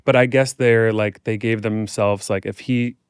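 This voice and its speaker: A man's voice: fairly low pitch, fairly even intonation, measured pace, smooth, no echo, studio quality. A man talking informally and reflectively as a podcast guest, telling personal stories in a casual interview. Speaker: podcast guest, performer and dance instructor.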